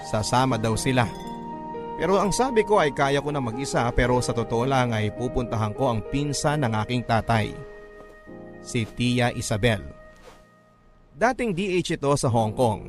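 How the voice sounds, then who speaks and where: Radio drama narrator: man as poor, remorseful young son, Filipino accent, confessional and heartfelt, mid-pitched over music. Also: natural voice. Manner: emotional, confessional. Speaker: narrator telling his life story in a radio drama. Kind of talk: dramatic first-person letter narration.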